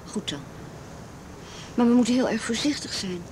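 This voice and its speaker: A woman's voice: on the high side, moderate pace, close to an everyday voice. A woman playing a frightened, wary teenage girl in a radio drama, speaking in a hesitant, faltering way. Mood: cautious, tentative. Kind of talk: naturalistic radio drama acting. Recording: noisy old radio drama recording.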